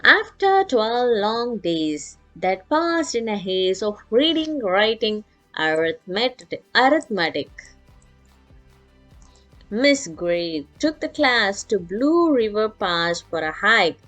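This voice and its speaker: Slow, very animated woman reading a storybook. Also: medium pitch, poor audio. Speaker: story reader. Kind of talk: animated children's read-aloud. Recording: music playing behind.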